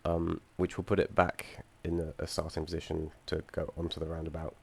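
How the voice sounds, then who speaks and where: A young man with a low, gravelly voice, audibly croaky from being under the weather. He talks through his game's code in a halting way, full of ums and self-corrections, with the odd dry joke dropped in. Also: completely flat delivery, a little mellow. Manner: hesitant, many ums and restarts, dry asides. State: croaky from feeling unwell. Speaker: student presenting his game project. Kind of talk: screen-recorded code walkthrough.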